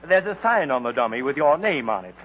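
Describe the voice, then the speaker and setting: A man's voice: medium pitch, big pitch swings, close to an everyday voice. Man as hesitant, obsequious radio executive. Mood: nervous, obsequious. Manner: halting, deferential. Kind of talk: 1940s radio comedy acting. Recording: scratchy, tinny 1940s radio broadcast.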